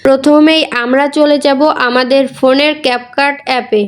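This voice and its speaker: A woman's voice: sits high, fairly even intonation, a little slow. A woman giving a casual, instructional how-to voice-over, mixing in English words. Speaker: tutorial creator.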